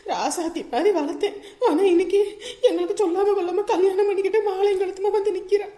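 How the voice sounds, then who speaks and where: A very high, quick-talking village mother played by a woman, bitter and full of grievance. She laments and scolds in family-drama style, recorded in an echoey room. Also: dark tone. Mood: wounded lamenting. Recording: roomy, echoing voice recording.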